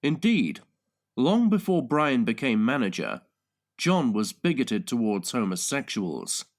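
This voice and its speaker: A man narrating audiobooks in a measured, deliberate, scripted style. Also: mid-range voice, sing-song. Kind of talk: scripted dramatic and factual narration.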